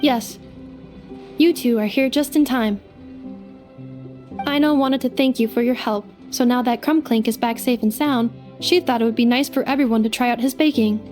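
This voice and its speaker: A calm robot helper played by a woman explains things in a measured, formal manner with a slightly raised voice. Faint music sits behind her in this game scene. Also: fairly high pitch, close to an everyday voice. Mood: reassuring. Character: gentle female robot companion, calm and thoughtful. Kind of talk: video game voice acting.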